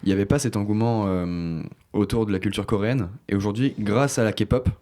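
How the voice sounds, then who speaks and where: Young man, very low voice, quick explanatory talk, casual chat host. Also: warm tone.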